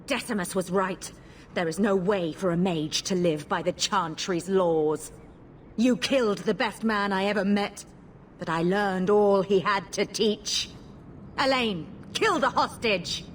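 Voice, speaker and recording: A woman's voice: medium pitch, unhurried, slight background noise, lightly acted. A woman playing a ruthless, vengeful rebel blood mage in a fantasy video game, cold and commanding. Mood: bitter, vengeful.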